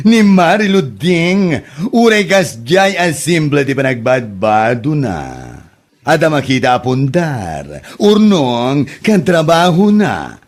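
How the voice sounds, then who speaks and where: A man acts out an indignant farmer from a comedy podcast, gossiping with a sharp tongue. The voice is fairly high for a man and takes its time, scolding and exclaiming in an Ilocano accent. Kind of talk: comedy drama podcast acting.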